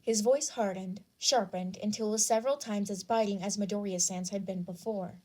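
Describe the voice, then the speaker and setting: A woman's voice: medium pitch, steady tone, a little fast, mellow. A woman reading scripted fan fiction as the narrator.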